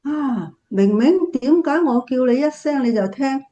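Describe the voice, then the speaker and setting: A woman playing an affectionate, reflective grandmother in a Cantonese radio drama, speaking gently. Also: high-pitched, expressive, a little slow.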